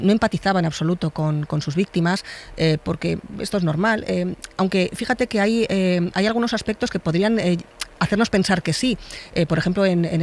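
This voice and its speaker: Hesitant, fast-talking expert woman. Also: lowish voice, darkish tone. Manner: hesitant, lots of "eh" fillers. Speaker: expert interviewee. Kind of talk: interview answer.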